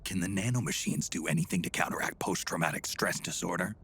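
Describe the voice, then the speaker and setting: An actor playing a gruff, world-weary old soldier in a video game, terse and brooding with a gravelly growl. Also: male voice, sits low, quick pace, a bit bright, slight background noise. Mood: sceptical, brooding. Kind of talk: video game cutscene acting.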